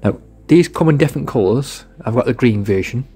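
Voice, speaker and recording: Man, mid-pitched voice, informal product reviewer, rambling, repetitive, casual hands-on demonstration. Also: lively intonation, fairly quick, warm tone, husky.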